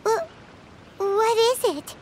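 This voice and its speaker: A woman voicing a tiny, excitable, childlike girl companion in a video game, squeaky and eager. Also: slow pace, slight background noise. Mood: excited, curious. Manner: exclamatory, eager, wide-eyed. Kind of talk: scripted video game dialogue. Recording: loud game music behind the voice.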